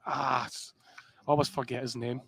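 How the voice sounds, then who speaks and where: A man's voice: medium pitch, level tone, moderate pace, vocal fry, a bit bright, breathy edge. A man with a Scottish accent commentating live poker, chatty and joking. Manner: chatty and joking, sings snatches of song.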